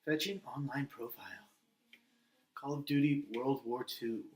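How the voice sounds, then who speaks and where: A man's voice: medium pitch, a little slow, darkish tone. A man hosting a gaming video with casual, rambling commentary, sometimes muttering along with what is on screen.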